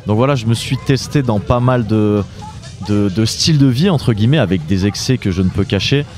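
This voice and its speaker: A man in his late twenties from southeastern France answering interview questions conversationally, often pausing and repeating himself as he searches for words. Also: on the low side, a little mellow, faint music behind. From Drôme, southeastern France. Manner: hesitant, searching for words, repeating phrase starts. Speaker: interview guest, author and entrepreneur.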